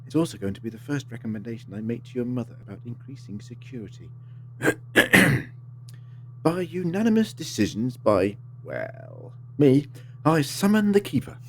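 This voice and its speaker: A man's voice: medium pitch, wildly swooping pitch, moderate pace, creaky, a little hiss or hum. A man acting in an audio drama as a polite, assured time-travelling adventurer, articulate and theatrical with a wry streak. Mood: wry, playful.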